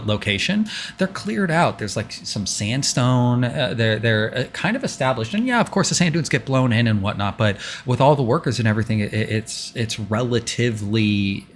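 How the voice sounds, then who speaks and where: A man's voice: mid-range voice, sing-song, moderate pace, hardly any pauses, a bit bright, slight background noise. A man narrating a tabletop game as game master in a loose, informal, improvised way.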